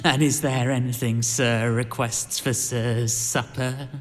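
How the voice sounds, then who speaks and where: An actor playing a scrawny, sycophantic servant villain, oily, hesitant and stammering, in an audio pantomime. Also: male voice, medium pitch, level tone, slow, natural voice. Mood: oily, sly. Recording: long hall-like reverb.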